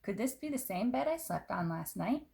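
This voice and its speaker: A woman's voice: mid-range voice, sing-song, moderate pace, creaky, warm tone. Woman as a polite, delicate young penguin princess. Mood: bewildered.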